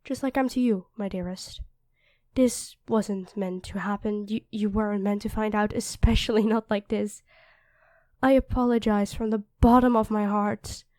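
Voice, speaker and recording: A woman's voice: medium pitch, steady tone, normal speed, a little mellow, natural voice. A woman playing a feisty demon queen in an ASMR roleplay, theatrical and commanding with minions and doting with her lover. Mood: tender, apologetic. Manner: theatrical, commanding, switching to tender. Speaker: voice actor in an audio roleplay. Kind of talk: dramatic ASMR roleplay acting.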